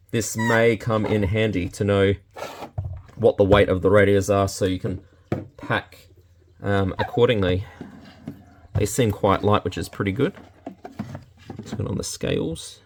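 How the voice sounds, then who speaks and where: A low-pitched Australian man talks through a product demonstration casually, thinking aloud in a laid-back, unscripted way. Clear background noise and small handling clicks sit behind his voice. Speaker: product reviewer. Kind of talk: casual unboxing and demonstration talk.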